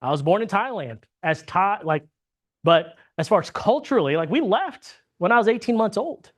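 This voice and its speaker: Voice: mid-range voice, expressive, normal speed. A Bible class teacher from the United States, leading a conversational lesson, often asking "right?" and restarting sentences. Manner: checks in with frequent tag questions; restarts and repeats words mid-sentence.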